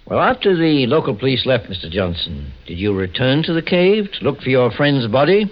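A courteous old investigator in a vintage radio drama. He is calm and probing, with a very varied melody to his speech. The recording is dull and aged. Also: mid-range voice. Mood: calm inquiry. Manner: measured, polite, probing. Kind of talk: 1940s radio drama acting.

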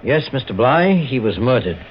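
A man acts a composed private investigator from 1940s radio drama. He is polite and deliberate, with a widely moving, mid-pitched voice. The recording is dull, with a faint hiss. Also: big pitch swings, lightly acted. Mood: grave. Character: calm, courteous, authoritative male private investigator.

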